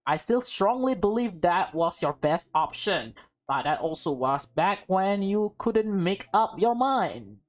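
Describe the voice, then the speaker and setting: A woman's voice: on the low side, expressive, unhurried, faint music behind. A woman voicing a stern, guarded young maid in a visual novel, formal and scolding. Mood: measured advice.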